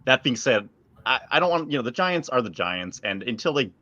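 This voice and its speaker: A man's voice: medium pitch, very animated, brisk, bright, forceful tone, dry recording, dull audio. A man co-hosting a sports podcast, chatting casually with emphatic opinions and some swearing.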